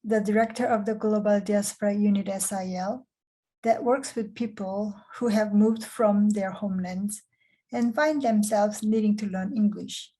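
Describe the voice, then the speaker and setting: Mellow-voiced woman director from Korea. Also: medium pitch, poor audio. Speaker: program director. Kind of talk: scripted presentation.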